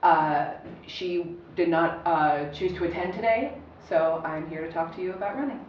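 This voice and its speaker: A woman's voice: fairly low pitch, moderate pace, slight background noise. A woman from Ohio introducing herself as a candidate in an orderly, matter-of-fact way. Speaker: candidate on a panel. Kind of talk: panel self-introduction. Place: public meeting room. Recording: echoey room from far off, dull.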